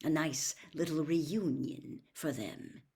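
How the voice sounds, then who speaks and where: A woman's voice: sits low, slow, vocal fry, a little mellow, heavily acted. Woman voicing a grave, weary father. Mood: bitter. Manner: grave, explanatory. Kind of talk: acted storybook reading.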